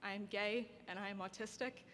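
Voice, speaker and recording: Young woman, soft-spoken, earnest, heartfelt award speech, podium mic, reverberant hall. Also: medium pitch, fairly even intonation, fairly quick. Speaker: award recipient giving a graduation speech. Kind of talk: formal prepared speech. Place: ceremony hall. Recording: long hall reverb, faint background noise.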